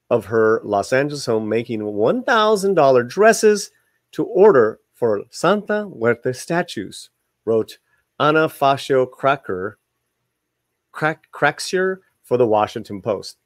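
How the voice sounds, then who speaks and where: Casting: male video host, mid-pitched, reads articles aloud and comments casually, tripping on words, dry recording. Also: no room sound. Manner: stumbles over words while reading; informal asides to viewers.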